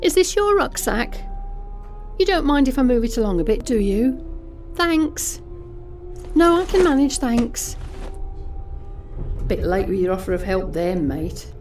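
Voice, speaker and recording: A woman's voice: on the high side, very animated, unhurried, long pauses, darkish tone, soft background music. An actress playing a jaded, snobbish young British woman who confides private thoughts in a confessional, sardonic audio drama monologue. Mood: brightly polite, then scornful, smug. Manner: confessional, sardonic, muttering asides to herself.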